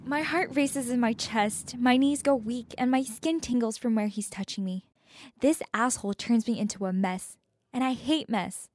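A woman's voice: a little high, moderate pace, darkish tone, dry recording. A young woman giving a dramatic first-person reading of a teen romance story. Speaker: story reader.

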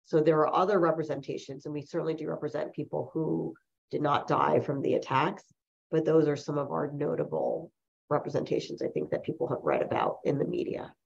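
A woman in her forties with a low voice edged with vocal fry, speaking as a lawyer whose first language was Chinese. She tells her stories reflectively, circling back and correcting herself as she goes. The recording sounds muffled and lo-fi. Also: expressive, brisk. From New Jersey, USA. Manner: reflective, rambling, with many self-corrections. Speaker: lawyer, guest. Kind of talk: personal storytelling in an interview. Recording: dull lo-fi recording.

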